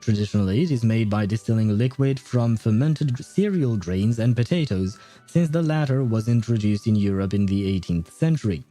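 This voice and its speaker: A man's voice: fairly low pitch, normal speed, mellow, soft background music. A young man chatting casually on a game livestream, thinking aloud and laughing often as he reads and reacts. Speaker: gaming livestreamer.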